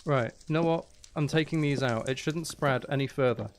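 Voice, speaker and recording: A man's voice: mid-range voice, moderate pace. A man chatting casually and in a rambling way as he hosts a livestream while gaming. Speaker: streamer, ASMR channel host.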